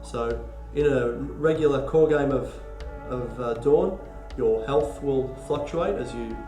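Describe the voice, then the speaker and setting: An Australian man with a cold and a stuffy nose, explaining board game rules in a halting, casual way full of ums and restarts. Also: mid-range voice, roomy sound, music in the background. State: congested from a cold. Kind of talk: casual tabletop rules walkthrough.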